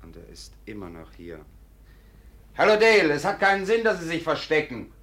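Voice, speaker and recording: An actor playing a matter-of-fact, dryly scornful police inspector with a clipped manner in a 1950s German radio play. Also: male voice, fairly high pitch, wildly swooping pitch, normal speed, fairly bright tone. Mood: commanding. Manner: authoritative, clipped. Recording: echoey 1950s radio studio recording.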